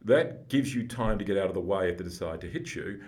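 A man with a booming voice, speaking as a psychologist guest, explaining things slowly and deliberately. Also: animated, darkish tone. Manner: slow, deliberate, explanatory, with restarts. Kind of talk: calm, measured podcast conversation.

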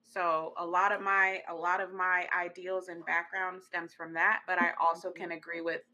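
Casting: woman from Congo, podcast co-host, forceful bright tone, animated colloquial chat. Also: on the low side, normal speed, dull audio. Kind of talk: casual relationship discussion.

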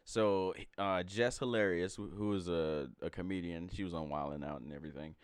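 A man's voice: deep voice, normal speed, smooth, no echo. A man in his twenties from Alabama hosting a casual chat, rambling with lots of fillers and frequent laughter. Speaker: podcast host.

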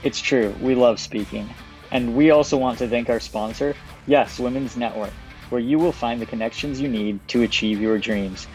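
A man co-hosting a podcast, reading sponsor thanks in a steady, scripted way. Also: medium pitch, moderate pace, soft background music, poor audio. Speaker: podcast co-host. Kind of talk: sponsor reads.

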